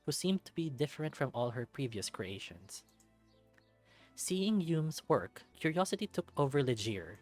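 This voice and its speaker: A man reading a visual novel aloud as a storyteller, with music behind him. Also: medium pitch. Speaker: let's-play narrator of a visual novel. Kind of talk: dramatic read-aloud storytelling with casual commentary. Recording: music under the voice.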